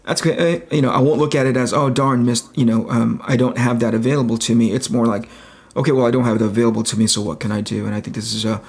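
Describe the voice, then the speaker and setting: A man with a relaxing, soft-edged voice chats casually on a livestream while he works, thinking aloud and searching for his words. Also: medium pitch, steady tone, fast talker, husky. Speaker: livestream host, comic book artist.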